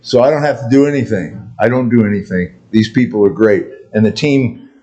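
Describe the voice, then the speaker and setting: A quiet-voiced man presenting to a town board as county emergency manager, relaxed and joking, with plenty of fillers and restarts. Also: on the low side, a little fast, lo-fi sound. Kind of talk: informal presentation at a public meeting. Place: town board meeting room.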